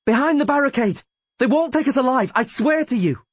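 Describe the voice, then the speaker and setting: A man voicing a desperate, defiant doomed soldier in an audiobook, with strained shouting. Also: very high-pitched, lively intonation, brisk, dry recording, pitched above the performer's own voice.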